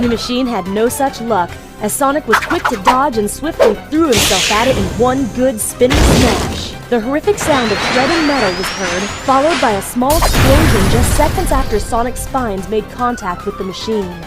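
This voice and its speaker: High-voiced woman narrating a story.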